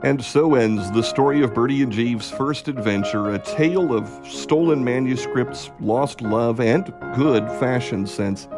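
A man narrates as a radio theatre announcer, in a scripted sign-off style. His voice sits at an average pitch, and music plays clearly behind him throughout. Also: measured pace, few pauses.

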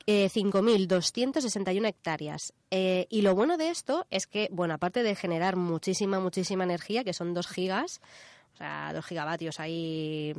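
A woman's voice: fairly low pitch, normal speed, a little mellow, no echo. A woman in her thirties with a Spanish accent from Spain, hosting a radio show in casual, colloquial chat and explaining as she goes. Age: 30s.

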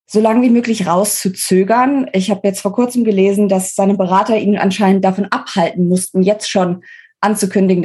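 A woman speaking as an interview guest, giving opinionated, explanatory political analysis in a conversational way, pausing between phrases. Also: medium pitch, a little mellow, slightly rough.

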